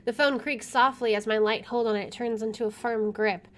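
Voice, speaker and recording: A woman's voice: fairly high pitch, fairly even intonation, moderate pace, fairly bright tone. A woman hosting a let's play, reading visual novel narration aloud, stumbling over words now and then and adding side comments.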